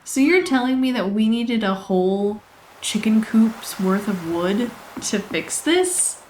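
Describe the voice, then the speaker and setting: A chatty woman, somewhat hoarse from losing her voice, rambling through casual gameplay commentary with frequent laughs. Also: on the high side, lively intonation, slow pace, darkish tone, slight background noise, rough recording. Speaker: let's play host. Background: game music.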